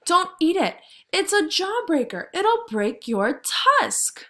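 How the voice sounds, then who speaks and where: A woman voicing an anxious, squeaky little male mouse giving urgent warnings in a children's storybook. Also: very animated, slow pace, pushed and bright, heavily acted. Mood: alarmed. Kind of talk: storybook read-aloud for small children.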